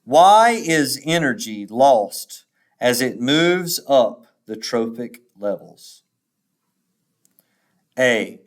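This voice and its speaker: A man reading test questions and answer choices aloud like a teacher, in a measured, deliberate way with pauses after each option. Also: mid-range voice.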